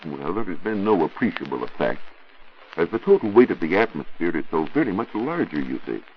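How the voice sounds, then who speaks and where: A man with an American accent explaining science on a scripted 1940s radio show, in a didactic, deliberate and emphatic way. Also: low-pitched, sing-song. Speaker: science reporter answering listener questions. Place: radio studio. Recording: muffled, noisy 1940s radio recording.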